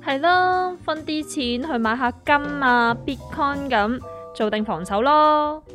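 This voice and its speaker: An actress with a Hong Kong accent playing a matter-of-fact investor friend who explains things in a scripted radio drama. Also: female voice, high voice, takes it slowly, a bit bright, faint music behind.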